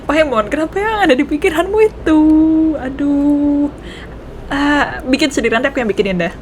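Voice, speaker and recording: A woman's voice: high-pitched, animated, brisk. A woman with an Indonesian accent giving casual, reactive playthrough commentary, chatting back at game characters. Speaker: gaming streamer. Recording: clear game noise behind voice.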